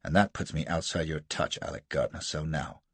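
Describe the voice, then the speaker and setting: Brief: man playing a brazen American rogue, deep husky voice, curt and cool. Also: normal speed, no echo, heavily acted. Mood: defiant.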